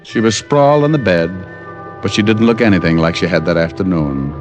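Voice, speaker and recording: An actor playing a wisecracking private detective in an old radio drama, narrating in a wry, hard-boiled manner. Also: male voice, sits low, animated, brisk, dull audio. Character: wisecracking tough male private eye, dazed. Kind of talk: 1940s radio drama acting. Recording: old radio broadcast with music.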